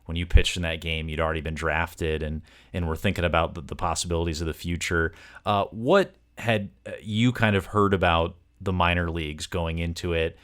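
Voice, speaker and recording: A man's voice: deep voice, fairly even intonation, normal speed, a bit bright. A man interviewing as a sports podcast host, conversational, with wordy questions that meander through several parts.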